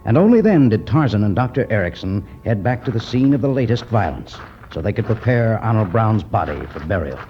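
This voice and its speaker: A man narrating a 1950s radio adventure serial in a measured, dramatic style. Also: fairly low pitch, sing-song, a little hiss or hum. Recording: muffled 1951 radio recording with music.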